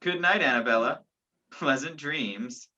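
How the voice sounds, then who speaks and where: A young woman playing a sceptical, gallant gentleman in an amateur radio play, with a theatrical, incredulous manner. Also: extremely low pitch, measured pace, full character voice. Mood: reassuring. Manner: incredulous, theatrical, old-fashioned phrasing. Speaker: student actor in a school radio play. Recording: dull lo-fi home recording.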